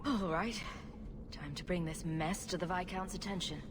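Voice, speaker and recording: An actress playing a confident, sardonic young adventurer in a video game, speaking in a dry, direct way. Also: female voice, fairly low pitch, normal speed, music in the background, natural voice. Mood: serious, dry. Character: wry, confident young female adventurer hero. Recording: loud ambient noise behind.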